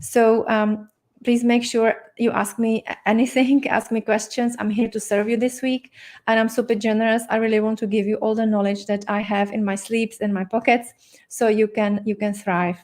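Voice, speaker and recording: A woman's voice: a little high, level tone, normal speed, a little mellow. A middle-aged woman with a Czech accent, leading a live online health class in a friendly way, with many fillers and restarts. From Czech Republic. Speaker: doctor and health coach hosting an online masterclass. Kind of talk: live-streamed teaching session.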